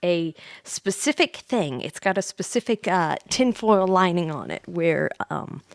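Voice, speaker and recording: A woman's voice: fairly low pitch, lively intonation, a little slow, gravelly, no echo. A woman hosting a livestream, chatting casually with viewers in a playful, giggly, rambling, slightly tipsy way. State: tipsy from drinking wine. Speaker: livestream host, VTuber.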